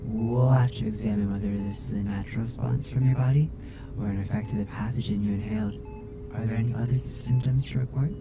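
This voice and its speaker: A man's voice: mid-range voice, fairly even intonation, creaky, close to an everyday voice. Man voicing a caring, formal robot caretaker over music. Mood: calm, attentive. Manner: formal, precise, measured wording. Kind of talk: ASMR roleplay audio drama.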